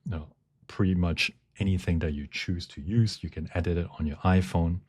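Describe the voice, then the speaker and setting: A man giving a technical presentation, speaking haltingly as he searches for words. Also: low voice, darkish tone, husky. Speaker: conference presenter. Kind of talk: technical talk with live demonstration.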